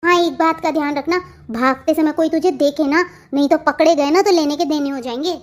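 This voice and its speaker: A woman's voice: sits high, fairly even intonation, fairly quick, natural voice. A woman playing a scheming young sister-in-law in a family cartoon, coaxing and conspiratorial. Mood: sly, coaxing. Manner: coaxing, reassuring, sly. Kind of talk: melodramatic family cartoon dialogue.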